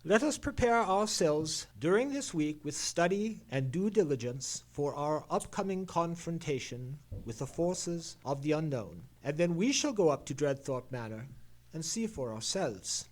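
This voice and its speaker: A Victorian gentleman sleuth in a radio play. His voice sits fairly high, and he speaks in a formal, precise, musing way with theatrical confidence. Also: expressive, unhurried. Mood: musing, intrigued. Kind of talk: old-time radio mystery play, performed live.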